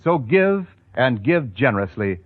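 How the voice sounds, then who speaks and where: A man's voice: medium pitch, sing-song, a little slow, dry recording. A man with an American accent announcing and reading sponsor copy in 1940s radio style. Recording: muffled 1940s radio broadcast, organ music. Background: loud music.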